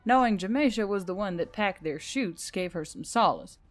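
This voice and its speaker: A woman's voice: mid-range voice, lively intonation, normal speed, faint music behind. A woman reading scripted fiction as a story narrator.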